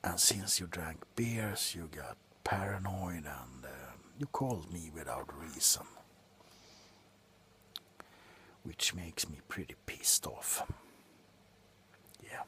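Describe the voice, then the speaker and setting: A tipsy man playing a callous, grumpy doctor in a slow, sullen ASMR roleplay, whispering sarcastically up close. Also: low-pitched, animated, pushed and bright, slight background noise, full character voice. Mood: grumbling, annoyed. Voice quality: breathy whisper. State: drunk. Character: grumpy, callous, drunken male doctor on a house call. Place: small cluttered room indoors.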